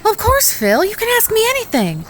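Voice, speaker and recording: A woman playing a sweet, excitable, proper frontier lady in a western audio drama, speaking in genteel, old-fashioned phrases. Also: very high voice, a little fast, exaggerated voice. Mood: warm, composed.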